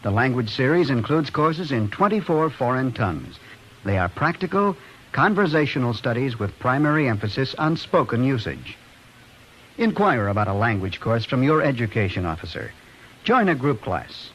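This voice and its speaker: A male announcer with a mid-range, highly inflected voice. He reads a scripted vintage radio announcement with faint noise on the recording. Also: very animated, moderate pace, fairly bright tone, low-quality recording. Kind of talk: scripted 1940s-50s radio public-service announcement.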